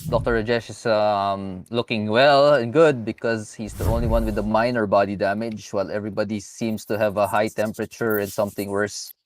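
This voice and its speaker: A man's voice: lowish voice, steady tone, brisk, few pauses. A man giving live sim racing commentary, talking with many hesitant fillers. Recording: loud background noise.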